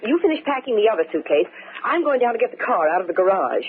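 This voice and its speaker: A woman playing a frightened, desperate wife in an old-time radio mystery, with halting, breathless, melodramatic pleading. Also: mid-range voice, sing-song, close to an everyday voice. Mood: urgent, tense. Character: frightened, desperate wife fearing her husband. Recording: noisy, tinny vintage radio recording.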